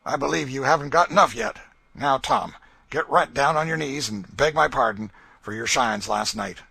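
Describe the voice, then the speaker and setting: Man doing a brutal slave master, sneering, bullying, loud, sharp-toned. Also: medium pitch, moderate pace, vocal fry, slightly rough, no echo, voice pushed up, heavily acted. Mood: taunting. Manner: mocking, taunting, bullying.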